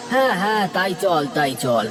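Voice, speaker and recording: A man voicing a lazy, grumbling village husband in an animated fairy tale, with a whining, exclamatory manner. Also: high-pitched, normal speed, background noise. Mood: chatty.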